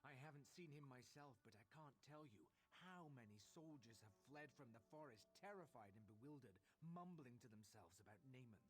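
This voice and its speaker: An actor playing a grim, battle-weary army commander in a video game, blunt and urgent. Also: male voice, medium pitch, animated, a little fast, few pauses, natural voice, poor audio. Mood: troubled, weary. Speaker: game character, military commander.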